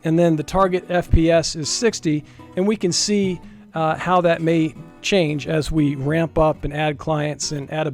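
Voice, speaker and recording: Male developer, fairly high voice, informal and folksy screen-share walkthrough, guitar music behind him. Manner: informal and folksy, talks viewers through each step. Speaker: software developer presenting a demo. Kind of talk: casual screen-share tech demo narration.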